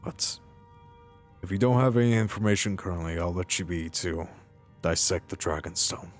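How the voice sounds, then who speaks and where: A man voicing an earnest, reassuring ex-mercenary adventurer in a video game roleplay, speaking in a halting, deliberate way over music. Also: low voice, lightly acted. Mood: musing.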